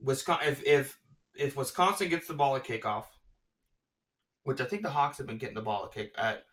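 A man hosting a casual sports podcast, talking excitedly and gushing about his predictions, often restarting his sentences. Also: mid-range voice, a little fast, distant mic. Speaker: podcast host.

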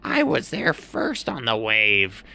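A sensible, slightly fussy blue heron talks in a high, thin voice, put on by a man. Its pitch jumps up and down, with a faint gravel underneath. It fits a heron in a storybook told at bedtime. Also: huge pitch swings, slow, bright, forceful tone, heavily acted. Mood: peevish.

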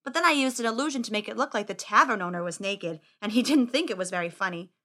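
A woman's voice: mid-range voice, fast talker, sharp tone, close to an everyday voice. A woman voicing a cheeky, boastful teenage boy wizard in a storybook, grandiose and chatty. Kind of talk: audiobook character acting.

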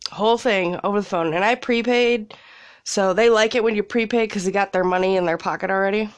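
A woman's voice: mid-range voice, brisk, dry recording. A young woman with a congested cold, rambling casually as a podcast host from a small closet, laughing and swearing freely. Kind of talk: casual solo chat.

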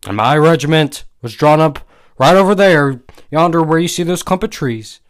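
A man's voice: on the high side, expressive, normal speed, pitched above the performer's own voice, natural voice. Folksy Southern war veteran, read by a male narrator, blunt, rustic phrasing, audio story. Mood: folksy, reminiscing. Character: tall raw-boned Southern ex-Confederate, folksy and blunt.

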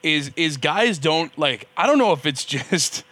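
A man hosting an interview, asking questions in a relaxed, joking way. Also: on the high side, measured pace. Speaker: radio interview host. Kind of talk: podcast interview.

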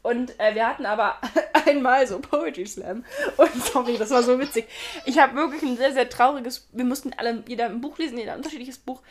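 A young woman in her twenties chats on a casual podcast in a high voice that sits well above most women's. She rambles, giggles and keeps restarting her sentences as she tells her stories. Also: fairly quick. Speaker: podcast co-host. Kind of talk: casual chat.

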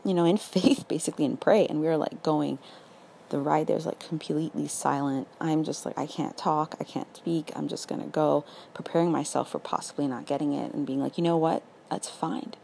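A raspy young woman hosting a casual faith podcast, earnest and encouraging, full of "like" and "literally". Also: low voice, fairly even intonation, fairly quick, mellow, a little hiss or hum, low-quality recording. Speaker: podcast host.

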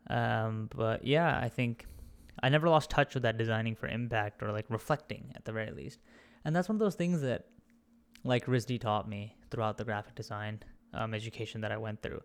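A man's voice: lowish voice, normal speed, smooth. A young man from India with a mixed British, Australian and American accent, speaking as a podcast guest in a candid, reflective way. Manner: reflective, candid, searching for words. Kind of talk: casual conversational interview.

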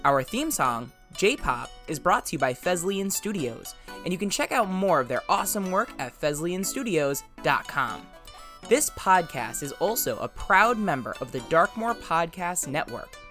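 A man's voice: sits high, very animated, unhurried, bright, forceful tone, faint music behind. A man hosting a podcast interview in a casual, conversational style.

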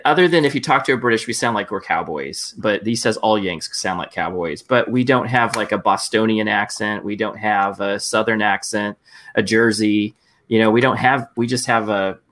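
A man's voice: lowish voice, fairly bright tone. A man from Arizona, talking casually and laughing often as co-host of a group video stream. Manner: easygoing, laughs often, rambling.

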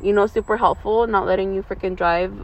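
A woman's voice: medium pitch, fairly even intonation, brisk, darkish tone. A woman in her early twenties chatting casually with a friend in a slangy, rambling, giggly way. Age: 20s, twenty-three. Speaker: vlog host. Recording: dull lo-fi, outdoor traffic noise.

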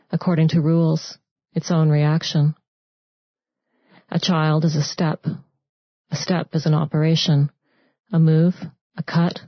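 A woman from Canada, a poet, reading her own verse in a restrained, deliberate manner. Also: deep voice, no echo. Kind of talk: poetry reading of own work. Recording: dry, slightly dull close recording.

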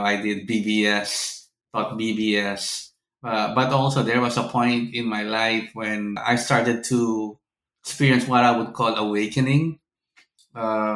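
A man's voice: lowish voice, level tone, moderate pace, a bit bright. Middle aged guy w filipino accent, professor chatting on a podcast, pretty roomy echo on the audio. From Philippines. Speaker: seminary professor, podcast guest. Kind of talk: conversational personal storytelling in an interview. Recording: roomy, echoey sound.

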